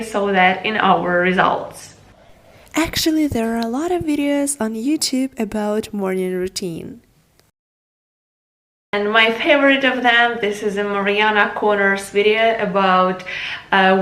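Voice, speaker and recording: A woman's voice: on the high side, a bit bright, reverberant, rough recording. A teenage girl with a Ukrainian accent, a native Ukrainian speaker, giving earnest self-improvement advice in a vlog, with slightly non-native phrasing. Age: teens. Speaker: vlogger.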